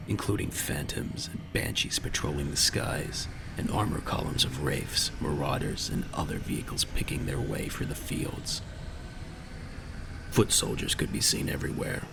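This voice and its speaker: A man with a gravelly voice narrating a dramatic audio drama in a grave, measured storytelling manner. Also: wildly swooping pitch, noisy background. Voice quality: very deep, gravelly. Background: faint music.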